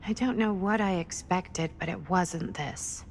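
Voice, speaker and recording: A woman's voice: fairly low pitch, background noise. A woman playing a wry young explorer in a video game, muttering dry jokes to herself. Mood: curious, wry. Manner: slow, dry, sardonic self-talk. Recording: music behind.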